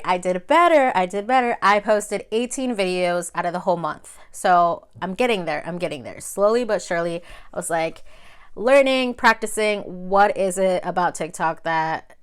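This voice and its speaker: Smooth-voiced chatty woman, native Spanish speaker. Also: medium pitch. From Mexico. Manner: conversational, repeats phrases for emphasis. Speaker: video creator. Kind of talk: casual chatty advice vlog.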